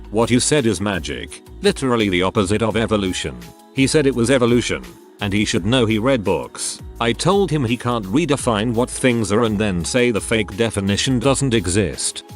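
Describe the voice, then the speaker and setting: Male narrator, lowish voice with lots of pitch movement, matter-of-fact reads, faint music underneath. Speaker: narrator reading online stories. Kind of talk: scripted narration.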